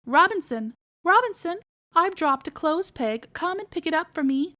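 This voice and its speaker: A woman's voice: extremely high pitch, lively intonation, moderate pace, bright, forceful tone, no room sound, pitched above the performer's own voice, exaggerated voice. A woman voicing a very fat elderly aunt pig, practical and complaining in an emphatic way, in a storybook. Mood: calling out.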